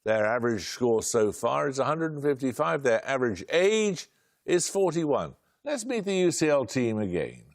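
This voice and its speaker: An older British man hosting a studio quiz show, reading questions in a brisk, dry, clipped way. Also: mid-range voice, big pitch swings. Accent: British English. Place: television studio.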